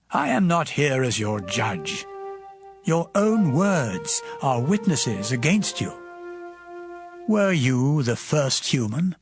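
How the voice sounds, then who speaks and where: Slow, stern, scornful biblical elder. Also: male voice, fairly high pitch, breathy edge, no room sound, full character voice. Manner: preachy, accusatory, emphatic. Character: stern, scornful male elder admonishing a sufferer. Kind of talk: dramatized scripture audio drama.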